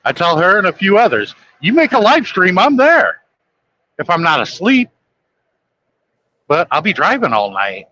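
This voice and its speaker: A man's voice: sits high, wildly swooping pitch, moderate pace, pauses often, pushed and bright, lo-fi sound. A man chatting casually late at night on a live stream, blunt and griping, swearing freely.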